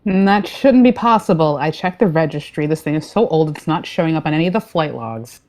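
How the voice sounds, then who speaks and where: Woman playing a low-voiced, deadpan starship captain. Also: brisk. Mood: businesslike. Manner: dry, deadpan. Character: no-nonsense, jaded female starship captain. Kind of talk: remote sci-fi comedy play acting.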